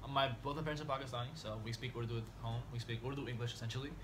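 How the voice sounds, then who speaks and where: A man's voice: medium pitch, fairly even intonation, very fast, a bit bright, slight background noise. A man, chatting casually and a bit slangy in a small dorm room, laughing easily. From United States. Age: 20s. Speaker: medical student guest. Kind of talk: casual chat.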